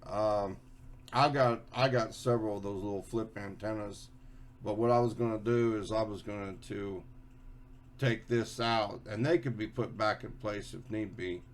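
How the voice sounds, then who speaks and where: Low-voiced man explaining a hobby project, halting. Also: fairly even intonation. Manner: halting, repetitive, rephrasing himself as he goes. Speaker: hobbyist video host. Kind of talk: casual unscripted hands-on explanation.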